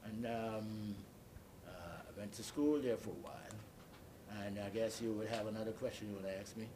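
An elderly man recalls his life in an interview, speaking as a deliberate, halting storyteller who repeats and explains. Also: lowish voice, background noise. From Bahamas. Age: 80s or older. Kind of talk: oral history recollection.

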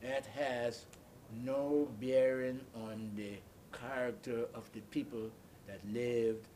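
An elderly man recalls his life in an interview, speaking as a deliberate, halting storyteller who repeats and explains. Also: medium pitch, fairly even intonation, dark tone, slight background noise. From Bahamas. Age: 80s or older. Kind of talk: oral history recollection.

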